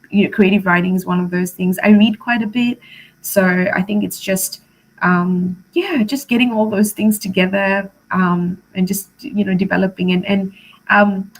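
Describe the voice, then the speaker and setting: A woman from Malaysia with Malay as her first language talks in a casual interview. She has a mid-pitched voice and a chatty, emphatic way of speaking, as a teacher telling about herself. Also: low-quality recording. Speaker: primary school teacher, interview guest.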